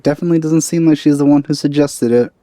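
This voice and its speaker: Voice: mid-range voice, quick pace, warm tone. A let's player giving casual, offhand and wry gameplay commentary into a close microphone. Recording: close dry mic over game music.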